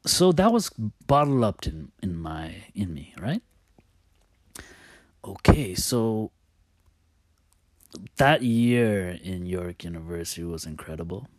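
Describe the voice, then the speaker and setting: A man telling personal stories in a casual, confessional way, halting and thinking aloud as he often loses his thread. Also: low voice, sing-song, no echo, studio quality.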